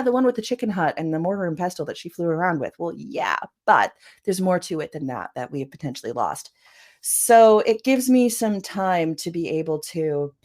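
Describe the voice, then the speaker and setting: Low-voiced chatty woman, Vancouver accent. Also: a little mellow. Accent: Vancouver Canadian. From Canada. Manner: chatty, laughs often, many "you know" fillers. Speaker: author guest. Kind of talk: casual interview conversation.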